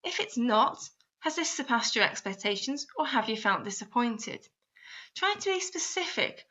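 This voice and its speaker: Woman, instructional voice-over, very bright tone, direct address to the listener, guiding questions, slightly muffled lo-fi recording. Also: high voice. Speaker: instructor narrating a guidance video.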